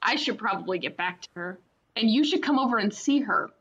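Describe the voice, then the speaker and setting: An actress playing a supportive, teasing younger sister in a radio play. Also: female voice, lively intonation, fairly quick, fairly bright tone. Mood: reassuring. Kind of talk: radio play acting, naturalistic dialogue. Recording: dull, muffled low-fidelity microphone.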